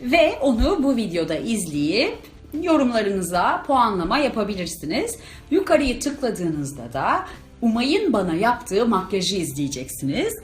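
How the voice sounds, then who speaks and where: A woman hosting a kids' video, chatting in an excitable, playful way to the camera during a challenge. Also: fairly high pitch, measured pace. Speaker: host of a kids' video channel. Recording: roomy echo with background music.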